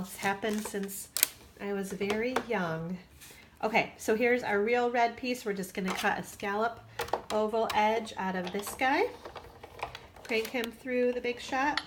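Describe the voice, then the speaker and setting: A woman's voice: medium pitch, background noise. Stuffy, nasal woman demonstrating crafts. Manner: chatty, with fillers and asides. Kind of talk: casual tutorial.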